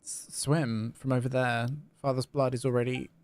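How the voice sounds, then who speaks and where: A man voicing an earnest, devoted game character, pleading haltingly for a suffering parent. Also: mid-range voice, little pitch movement, a little mellow, clear, resonant tone, close to an everyday voice. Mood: urgent.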